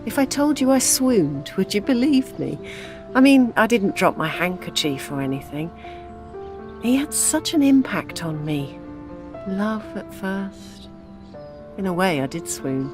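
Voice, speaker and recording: A woman's voice: medium pitch, lively intonation, a little slow, over music, natural voice. An actress with a British accent playing a grieving older widow and mother, wry and devoted, in an intimate audio drama. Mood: fond, wistful.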